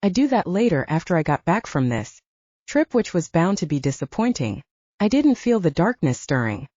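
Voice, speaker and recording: A woman's voice: lowish voice, big pitch swings, moderate pace, warm tone, no echo, dull audio. A woman reading first-person fiction as a scripted audiobook narration.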